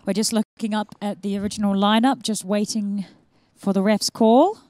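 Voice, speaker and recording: A woman's voice: medium pitch, fairly even intonation, moderate pace, darkish tone, no echo. A woman with a New Zealand accent giving conversational live sports commentary with an inquisitive manner.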